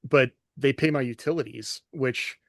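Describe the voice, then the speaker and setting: A man's voice: mid-range voice, moderate pace, vocal fry, a bit bright, dry recording, studio quality. A man co-hosting a writing podcast in casual chat, talking through ideas at length with many fillers and restarts. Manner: thoughtful, rambling with fillers. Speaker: podcast co-host.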